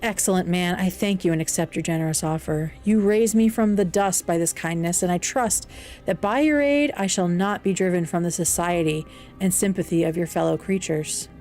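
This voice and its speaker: A woman's voice: on the low side, normal speed, darkish tone, soft background music, lightly acted. A woman voicing a lonely male outcast monster in an audiobook, eloquent, formal, earnest and anxious. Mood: grateful. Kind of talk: audiobook character dialogue.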